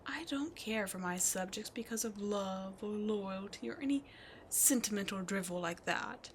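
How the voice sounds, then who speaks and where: A woman voicing an immortal demon queen in an ASMR roleplay, imperious, seductive and cruel, speaking deliberately and often breathily. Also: mid-range voice, a little hiss or hum, close to an everyday voice. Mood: haughty, persuasive. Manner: slow, deliberate, haughty, often breathy and whispered. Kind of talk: ASMR roleplay villain monologue.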